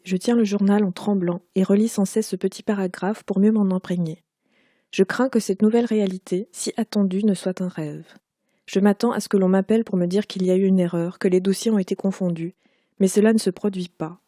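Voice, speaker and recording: A woman in her forties, raised in Quebec but speaking with a Parisian French accent, reads her own literary prose aloud. Her voice is mellow and warm, at a middle pitch for a woman. The delivery is measured and unhurried, recorded dry with no room echo. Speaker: author.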